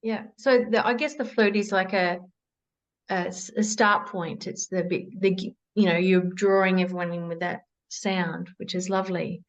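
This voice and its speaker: A woman's voice: on the low side, fairly even intonation, normal speed, highs cut off. A woman hosting a podcast interview, encouraging her guest and asking follow-up questions. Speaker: podcast host. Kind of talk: casual interview.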